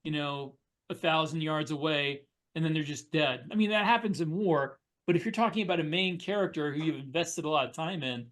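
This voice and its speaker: A man with a somewhat high voice speaks as a podcast co-host in a relaxed panel chat. He deliberates earnestly as he makes his points. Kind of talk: casual panel discussion.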